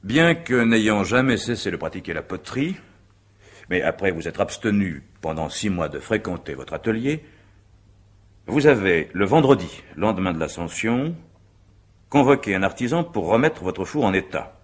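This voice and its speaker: A man playing a formal, suspicious examining magistrate in a French radio mystery play, with measured, pedantic and probing delivery. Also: lowish voice, sing-song. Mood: formal, dictating. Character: methodical, suspicious male examining magistrate questioning a witness. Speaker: actor.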